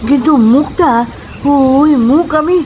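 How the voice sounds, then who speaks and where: A woman's voice: high voice, measured pace, a little mellow, poor audio. A woman voicing a frightened young village man in a cartoon ghost story, shaken, hesitant and stammering. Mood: terrified. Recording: clear ambient noise behind.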